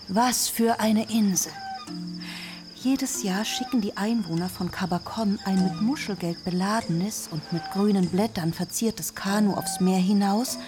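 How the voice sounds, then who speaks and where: An actress playing a shrewd, resolute businesswoman past fifty in a radio drama, speaking with measured, persuasive salesmanship. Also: mid-range voice, natural voice. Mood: enthusiastic. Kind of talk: German radio drama acting. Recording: music bed behind the voice.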